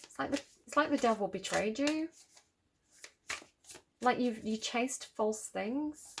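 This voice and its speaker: A woman's voice: on the high side, expressive, takes it slowly, background noise. Manchester woman musing through a tarot reading. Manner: musing, repeats phrases, intuitive; reads card meanings aloud from a book. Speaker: tarot reader. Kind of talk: casual tarot reading to camera.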